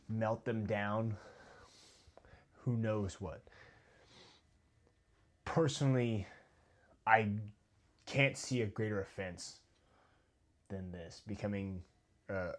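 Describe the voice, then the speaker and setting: An American man speaks in a casual commentary monologue, his voice sitting a little low. He talks in a heated, halting way, restarting phrases and letting his volume sink suddenly. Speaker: video commentator.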